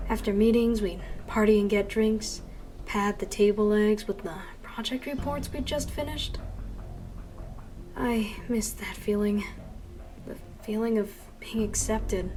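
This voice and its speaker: A woman playing a young female elf scientist, wistful yet sharp-tongued, in an expressive dramatic fan dub. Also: fairly high pitch, lightly acted. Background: background music.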